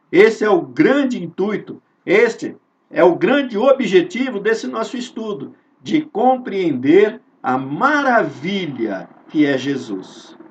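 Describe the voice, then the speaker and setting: A Brazilian man leading a spiritist study, earnest and repetitive, asking rhetorical questions and drawing out key words. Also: on the high side. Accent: Brazilian Portuguese. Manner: earnest, repeats phrases, rhetorical questions, drawn-out emphasis. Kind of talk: informal devotional teaching. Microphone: video call. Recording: dull lo-fi sound from a distance.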